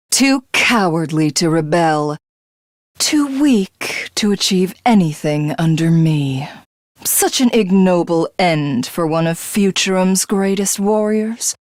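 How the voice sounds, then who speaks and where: A woman's voice: medium pitch, no echo, clean studio sound. A woman voicing a megalomaniacal, contemptuous female tyrant who craves godhood, in theatrical video game villain style. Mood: scornful.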